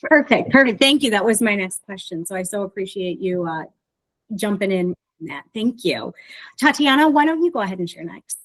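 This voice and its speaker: A woman hosts a panel discussion over a video call as moderator. She is polite and appreciative toward her guests, with a mid-pitched voice. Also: lively intonation, moderate pace, a bit bright, low-quality recording. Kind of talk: moderating an online author panel.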